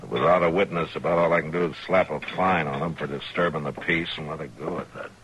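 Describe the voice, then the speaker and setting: An actor playing a weary, firm American frontier marshal with a gruff voice in an old-time radio western. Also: male voice, extremely low pitch, fairly even intonation, fast, a bit bright. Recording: noisy old radio recording.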